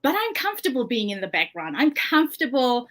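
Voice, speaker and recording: A woman's voice: sits high, very animated, fairly quick, a bit bright. A woman with a South African accent, a guest author sharing personal experiences in a casual interview, earnest and given to laughing as she talks.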